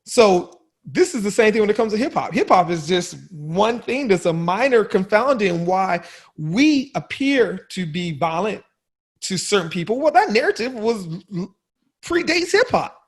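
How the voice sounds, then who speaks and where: A man on a panel discussion, talking emphatically in a husky, gravelly voice and laughing at his own wordplay. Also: sits high, big pitch swings, unhurried. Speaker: guest panelist.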